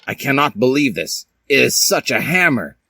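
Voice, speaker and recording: A man's voice: mid-range voice, sing-song, normal speed, gravelly, sharp tone, slight background noise, pitched above the performer's own voice, exaggerated voice. A man voicing an earnest young male vampire hero in a fan fiction story, urgent and overwrought. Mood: shocked.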